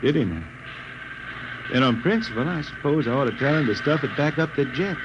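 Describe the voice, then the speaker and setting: A man's voice: on the high side, animated, brisk, dull audio, lightly acted. An American actor playing a defiant, folksy spaceship jetman in a drawling, insolent 1950s radio drama style. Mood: bitter, resigned. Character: defiant, folksy spaceship jetman who writes bawdy songs. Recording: noisy vintage 1950 radio broadcast.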